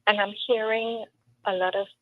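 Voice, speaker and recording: A woman's voice: medium pitch, steady tone, normal speed. A woman with a Trinidadian accent, speaking earnestly and emphatically as a political candidate in an interview. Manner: earnest, emphatic, with storytelling asides. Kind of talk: interview answers. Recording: tinny phone-like line with faint noise.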